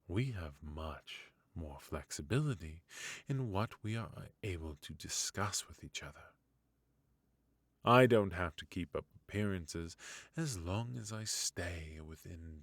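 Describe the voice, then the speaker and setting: Man acting as a resentful prince-like royal mentor, very low voice, wry formal phrasing, close dry sound, fantasy ASMR roleplay. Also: lively intonation, husky, dry recording, heavily acted. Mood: wry, teasing. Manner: formal, measured, wry, with musing hums and soft chuckles. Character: forgotten adult male royal mentor, resentful, haughty yet indulgent.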